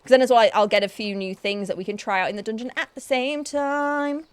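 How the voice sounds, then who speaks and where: A woman's voice: a little high, fairly quick, clear, resonant tone, dry recording. A young woman with a Yorkshire accent, chatting casually and thinking aloud while streaming an online game. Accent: Yorkshire English, with some Newcastle influence. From Yorkshire, England. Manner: chatty, thinks aloud, self-deprecating, frequent restarts.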